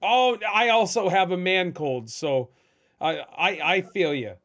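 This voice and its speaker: Lively high-voiced male host. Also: a little slow. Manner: upbeat, lots of short agreements. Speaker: podcast host. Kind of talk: casual chat.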